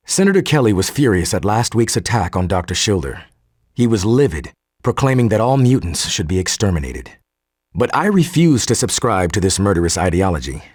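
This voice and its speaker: Male scientist, low husky voice, formal and articulate, game audio-log monologue, very dry close sound. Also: very animated, fairly quick, darkish tone, dry recording. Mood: grave, troubled. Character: earnest male geneticist turning cold and militant.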